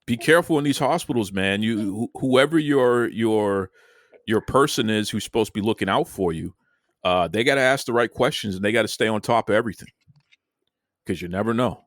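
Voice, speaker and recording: Low-voiced easygoing male host. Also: fairly quick, no room sound, clean studio sound. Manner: conversational, folksy, repeats words, says "man". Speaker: podcast host. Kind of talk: casual chat.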